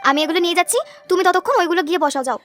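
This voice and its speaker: Cartoon village wife, played by a woman, very high voice, practical and caring, rapid homely chatter over music. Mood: busy, friendly. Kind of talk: cartoon voice acting.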